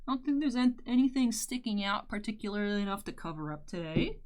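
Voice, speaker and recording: A young woman with a deeper voice, chatting candidly while doing her makeup for a vlog. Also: quick pace. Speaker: YouTuber. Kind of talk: casual makeup tutorial chat.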